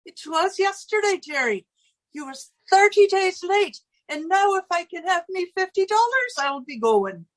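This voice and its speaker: Shrill-high chatty Irish landlady. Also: very animated, moderate pace, poor audio. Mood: demanding. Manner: garrulous, folksy. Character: chatty, easily sidetracked Irish landlady, demanding but soft-hearted. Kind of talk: 1940s radio comedy acting.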